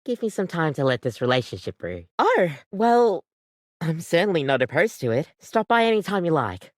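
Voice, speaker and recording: A woman voicing a friendly, earnest young coffee maker come to life as a barista in a video game, with a chatty, casual, expressive delivery. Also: lowish voice, normal speed, dry recording, clean studio sound. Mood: bashful, affectionate.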